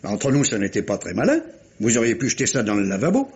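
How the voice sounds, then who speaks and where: A man's voice: mid-range voice, wildly swooping pitch, fast, a little mellow, breathy edge, full character voice. An actor playing a shrewd, politely ironic police inspector in a mystery radio play, musing and hesitating as he talks. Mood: dry, probing. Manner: hesitant musing, repeating words, dry irony. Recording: dull, muffled vintage-style radio recording.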